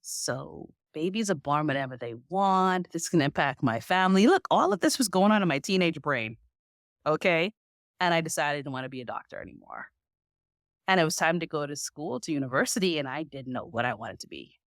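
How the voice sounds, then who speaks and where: Animated Bahamian woman telling her story. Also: low-pitched, a little fast, dry recording, studio quality. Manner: animated storyteller, laughs often. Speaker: guest. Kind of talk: casual interview chat.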